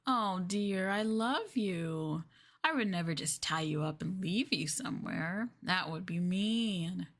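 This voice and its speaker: A woman's voice: on the low side, lively intonation, slow pace, long pauses, a bit bright, full character voice. A woman playing a sweet, coy, possessive yandere girlfriend in an ASMR roleplay, with a doting, hesitant way of talking. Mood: doting, quietly menacing.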